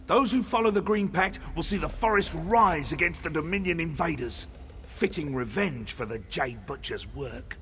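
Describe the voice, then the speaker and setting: An actor playing a bitter, vengeful rebel commander in a fantasy video game, speaking in a theatrical, impassioned way. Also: male voice, on the high side, animated, moderate pace, bright, forceful tone, slight background noise. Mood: grim, menacing. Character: bitter, vengeful male rebel commander grieving his father.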